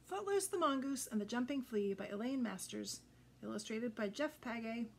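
An older woman reading a children's picture book aloud, expressive and addressing young listeners directly. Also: on the high side, normal speed, gravelly. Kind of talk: children's read-aloud storytelling. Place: indoors before a green backdrop. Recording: noticeable background noise.

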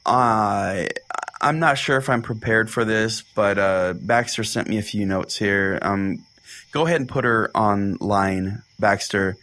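A man's voice: on the low side, a little slow, fairly bright tone. A man playing an oblivious, quirky pastor who hosts a spoof religious podcast in a folksy, rambling, mock-earnest way. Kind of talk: comedic podcast hosting and reading aloud.

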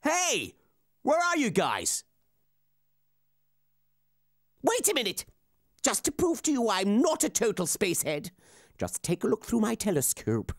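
A woman playing a bossy, excitable male inventor obsessed with space in a children's puppet comedy. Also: on the high side, moderate pace, exaggerated voice. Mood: excited. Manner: bossy, exclaiming, calls others idiots.

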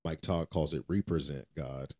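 A man's voice: a bass voice, moderate pace, a little mellow. A man co-hosting a faith podcast, talking casually in an earnest, exhorting way and repeating phrases for emphasis. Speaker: podcast co-host.

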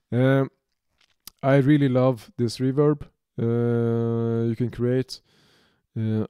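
Slow, mellow Norwegian man explaining. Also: mid-range voice, smooth, no room sound, clean studio sound. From Norway. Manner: halting, many restarts and fillers. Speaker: music producer demonstrating software. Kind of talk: unscripted tutorial. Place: small home music studio, indoors.

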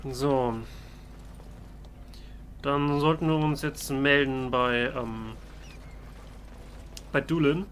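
Bright-voiced man role-playing through a game. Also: mid-range voice, steady tone, drawn-out words, pauses often, a little hiss or hum. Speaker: let's player. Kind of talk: improvised in-character role-play commentary on a game.

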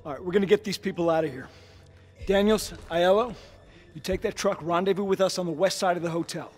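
An actor playing a humane, steady American lieutenant who leads a platoon in a World War II video game. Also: male voice, high-pitched, brisk. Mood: decisive.

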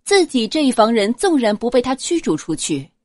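A woman narrates a novel in an expressive, dramatic storytelling style. Her intonation is lively and varied, and the recording is close and dry. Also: a little high, moderate pace, no room sound. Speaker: audiobook narrator.

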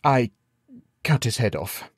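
A man's voice: medium pitch, very animated, slow pace, dry recording, studio quality. A man voicing an earnest young knight in a storybook, unsure and defensive, with a hesitant, sheepish manner. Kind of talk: storybook character voice.

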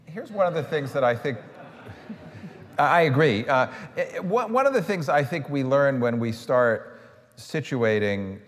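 A middle-aged man on a stage panel who speaks haltingly, often repeating words while he thinks. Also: mid-range voice, sing-song, big-room sound. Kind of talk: panel discussion. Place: large auditorium stage. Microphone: lapel mic.

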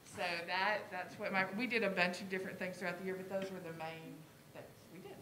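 A woman's voice: flat delivery, a little fast. A middle-aged woman, an elementary teacher, explaining things conversationally in an informal presentation at a podium microphone through a face mask. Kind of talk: informal presentation to a school board. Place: school board meeting room. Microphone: podium microphone, speaking through a face mask. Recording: echoey room with clear low rumble.

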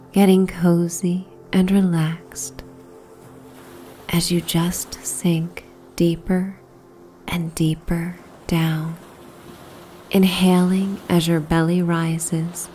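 Slow, hushed woman guiding sleep meditation. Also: lowish voice, a little hiss or hum. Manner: soothing, hushed and breathy, unhurried. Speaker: sleep meditation host and narrator. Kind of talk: guided sleep meditation narration. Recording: soft background ambience soundscape. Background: relaxing ambient soundscape.

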